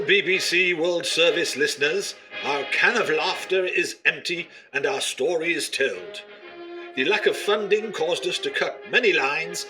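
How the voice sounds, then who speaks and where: An actor playing a formal, deadpan BBC-style radio announcer reading a scripted sign-off. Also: male voice, fairly high pitch, rough recording. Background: music.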